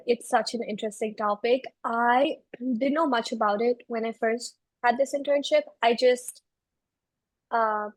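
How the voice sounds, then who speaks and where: A woman's voice: a little high, no room sound, low-quality recording. A woman in her twenties from Belgium, explaining things conversationally as an interview guest. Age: 20s. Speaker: interview guest, research analyst.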